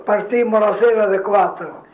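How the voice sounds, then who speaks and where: An elderly man, a grandfather, informally recounting his memories as a veteran in short, halting phrases. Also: sits high, reverberant, muffled recording. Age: older, a grandfather. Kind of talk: informal spoken reminiscence.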